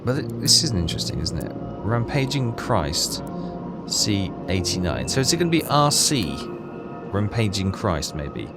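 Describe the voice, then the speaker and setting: A man's voice: on the low side, sing-song, unhurried. A man doing casual gameplay commentary, thinking aloud in a tentative, self-questioning way. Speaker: game player and commentator. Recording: game music behind the voice.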